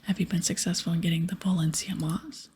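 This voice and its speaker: A woman whispering in a breathy ASMR style as she casually plays through a game, chatting softly and reading dialogue aloud. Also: fairly low pitch, flat delivery, brisk, slight background noise. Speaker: host of an ASMR gaming series.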